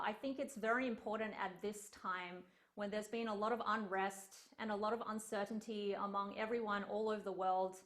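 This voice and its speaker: A woman's voice: mid-range voice, fairly bright tone. A young woman giving an online lecture as a cellist, chatty and earnest, with frequent ums, over a video call. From Australia. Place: home room on a video call.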